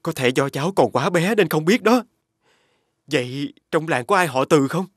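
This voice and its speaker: A man voicing a homesick, courteous young scholar in an animated fairy tale, polite and earnest. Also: fairly high pitch, moderate pace, no echo, clean studio sound. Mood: puzzled, friendly.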